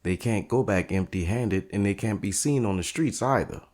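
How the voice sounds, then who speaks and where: Deep-voiced, steady streetwise barber played by a man. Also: moderate pace, close to an everyday voice. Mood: calm, resolute. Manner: firm, streetwise, plain-spoken. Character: steady, guarded barbershop owner taking on mobsters. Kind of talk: audio drama dialogue.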